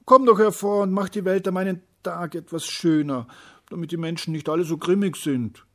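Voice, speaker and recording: Little male day spirit, as a man reads it, eager, high-pitched, pleading, slightly husky. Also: moderate pace, fairly bright tone. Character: small excited young male day spirit.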